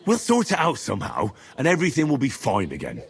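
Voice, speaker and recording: An actor playing an earnest, level-headed and loyal young squire in a medieval video game. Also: male voice, medium pitch, huge pitch swings, fast, gravelly, husky, full character voice. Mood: encouraging. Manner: earnest, reassuring. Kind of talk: video game cutscene acting.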